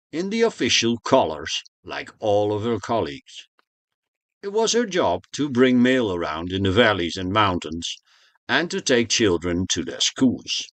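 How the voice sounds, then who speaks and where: A man's voice: lowish voice, a bit bright, dry recording. A man presenting a narrated tour of a motorhome as a vlogger.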